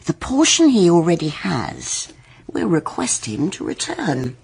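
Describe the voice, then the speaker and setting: Scheming older matriarch for period radio drama: deep, breathy, unhurried, firm and deliberate. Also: female voice, wildly swooping pitch, a little mellow, heavily acted. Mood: firm, indignant. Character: scheming, commanding older wife, coolly persuasive.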